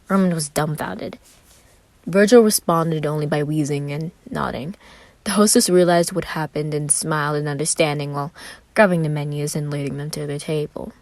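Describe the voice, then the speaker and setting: A woman narrates a piece of fiction in a low-pitched voice, telling it expressively. The recording is dry and close, with little room sound. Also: no echo. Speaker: fan fiction reader.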